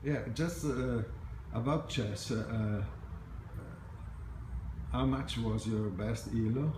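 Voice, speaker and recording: A man's voice: medium pitch, slow. A man interviewing a guest at an event, with hesitant, non-native phrasing and short prompts. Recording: roomy echo, faint noise.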